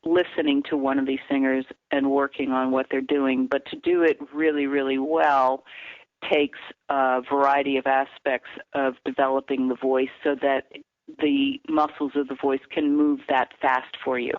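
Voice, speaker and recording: Woman, vocal coach, teacherly and measured, phone line, dry sound. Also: a bass voice, level tone, no room sound. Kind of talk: phone-in radio interview. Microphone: telephone.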